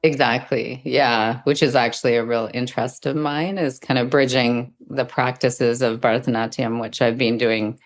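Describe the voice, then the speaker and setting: A middle-aged woman speaking as an interview guest, thoughtful and reflective, often pausing as she explains her practice. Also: extremely low pitch, steady tone. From Sri Lanka. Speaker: guest, dance teacher and Zen practitioner.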